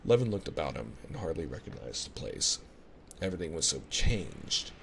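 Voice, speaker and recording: Male ASMR storyteller, rock-bottom pitch, unhurried scripted reading, ocean sounds clearly audible behind him. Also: very animated, fairly bright tone, a little hiss or hum. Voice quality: very deep, gravelly. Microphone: binaural ASMR microphone, close.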